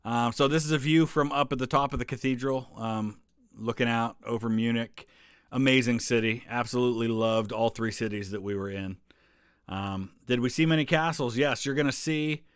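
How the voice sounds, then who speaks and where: A man's voice: medium pitch, normal speed, clean tone, no room sound, clean studio sound. A man hosting a live stream, chatting loosely through travel photos and viewer questions, with many ums and restarts. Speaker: history channel host answering live chat.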